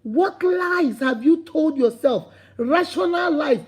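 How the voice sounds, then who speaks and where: A woman's voice: sits high, expressive, takes it slowly, a little mellow. A woman with a Nigerian accent giving an impassioned motivational talk, repeating her points and building to emphatic calls. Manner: preachy, repetitive, rising to emphatic calls. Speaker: motivational speaker.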